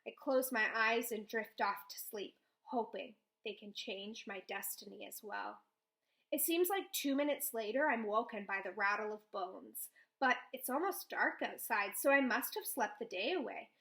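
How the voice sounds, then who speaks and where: A woman reading a children's novel aloud, with expressive first-person storytelling. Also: on the high side, moderate pace, creaky. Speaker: read-aloud host.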